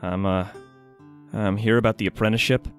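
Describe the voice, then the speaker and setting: A man's voice: on the low side, steady tone, a little fast, soft background music, lightly acted. An actor playing an earnest, hesitant young man seeking an apprenticeship in an audio drama.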